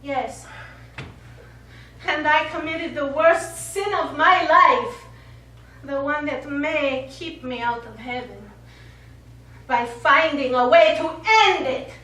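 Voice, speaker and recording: A proud, bitter middle-aged Italian woman played by an actress accuses in terse, scornful phrases. Her voice is high and bright, caught from far off in a very reverberant theatre. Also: slow, pauses often, exaggerated voice. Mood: ashamed, bitter. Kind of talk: stage play acting. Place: small theatre stage, recorded from afar. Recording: echoey stage, distant mic, faint noise.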